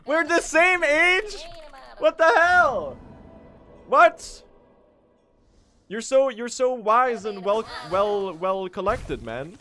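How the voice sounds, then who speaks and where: A late-teen man from Sweden, a native Swedish speaker, chatting casually on a gaming livestream in a rambling, joking way with sudden excited outbursts. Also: very high-pitched, extremely slow, pauses often. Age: late teens. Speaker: streamer.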